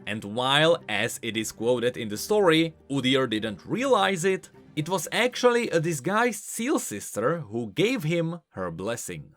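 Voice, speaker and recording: A man giving scripted, explanatory commentary as a video creator covering game lore, in an animated manner. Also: a little high, unhurried, few pauses, faint music behind.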